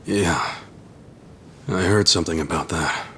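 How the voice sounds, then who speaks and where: A man's voice: low voice, measured pace, pushed and bright, slight background noise, exaggerated voice. A man playing a loyal, big-hearted ex-yakuza in an English video game, speaking in an earnest, plain way. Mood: friendly.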